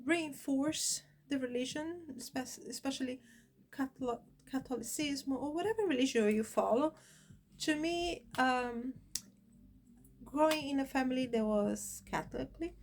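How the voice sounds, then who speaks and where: A woman in her forties from Brazil with a Brazilian Portuguese accent, talking to camera about her life in her second language, pausing often to find words. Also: a little high, slight background noise. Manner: hesitant, searching for words, many fillers. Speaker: channel host. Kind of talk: personal talk to camera.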